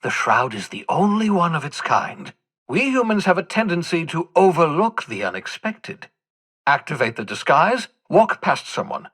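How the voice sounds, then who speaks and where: An actor playing an eccentric, vain older mad scientist in a video game, with a husky voice and a theatrical, erudite, rambling manner. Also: male voice, fairly high pitch, big pitch swings, normal speed, fairly bright tone. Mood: sly, confident. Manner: theatrical, erudite, rambling, swinging from mutters to exclamations. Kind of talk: video game character dialogue.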